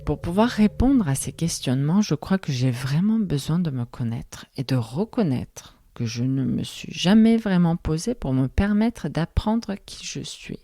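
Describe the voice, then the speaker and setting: A woman's voice: sits low, animated, measured pace, hardly any pauses. A woman in her thirties, a podcast host speaking in a language that is not her first, delivering a thoughtful, questioning monologue. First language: not French. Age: 30s. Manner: thoughtful, questioning, soft-spoken.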